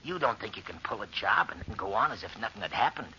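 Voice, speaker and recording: An actor playing a menacing, pushy crook who talks in slang, in an old radio thriller. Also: male voice, lowish voice, expressive, quick pace, bright, forceful tone. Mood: bullying, menacing. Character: menacing, bullying crook with a gun. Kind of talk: 1940s radio thriller acting. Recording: muffled, faintly noisy old radio recording.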